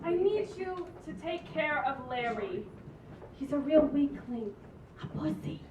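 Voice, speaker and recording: Woman reading as a high-pitched, brazen wheedling aunt. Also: animated, unhurried, a little mellow. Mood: pleading. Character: brazen, pleading middle-aged aunt in jail. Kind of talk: comedy script table read. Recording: echoey, dull, distant room recording, faint noise.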